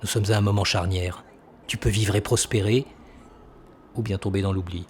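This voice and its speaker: An actor playing a calculating senator who persuades with measured authority in an audio drama. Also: male voice, on the low side, fairly even intonation, darkish tone, slight background noise, close to an everyday voice. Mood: persuasive. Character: calculating, persuasive male senator and military schemer.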